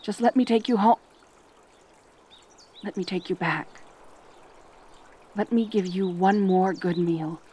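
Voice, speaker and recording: Girl doing a lonely monster girl centaur for asmr roleplay, talks slow, sighs a lot, desperate and caring. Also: mid-range voice, dark tone, breathy edge. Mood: gentle, pleading. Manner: halting, pleading, sighing delivery. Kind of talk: roleplay ASMR audio drama.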